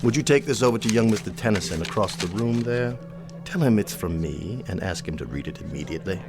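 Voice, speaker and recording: A low-voiced, haughty older colonel. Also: male voice, lively intonation, over music. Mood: dry, composed. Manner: formal, deliberate, cutting. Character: haughty, austere older gentleman colonel with cold contempt. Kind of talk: radio drama acting.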